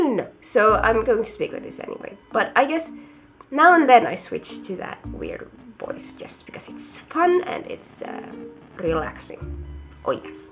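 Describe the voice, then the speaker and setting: Lively playful woman with Swedish accent. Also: mid-range voice, creaky, rough recording. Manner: chatty, playful, giggly. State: ill. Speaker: vlogger. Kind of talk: casual chat to camera. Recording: background music under the voice.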